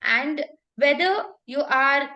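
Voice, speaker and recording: High-pitched Indian woman coaching emphatically. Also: slow pace, pushed and bright. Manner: emphatic, repetitive, checks in with "okay?". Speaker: public speaking coach and talk show host. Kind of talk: informal coaching conversation with anecdotes.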